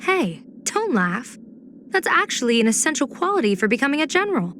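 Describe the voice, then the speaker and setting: A woman playing a playful, scheming, poised general and diviner in a video game, speaking in measured, teasing, elegant phrases. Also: high voice, big pitch swings, fairly bright tone, slight background noise. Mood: wry, composed. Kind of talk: video game character voice acting.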